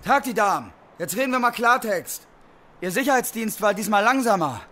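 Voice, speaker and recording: A man's voice: high voice, animated, background noise. A man playing a pushy, sarcastic petitioner in a German radio play, drawing out his words. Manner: sarcastic, drawn-out.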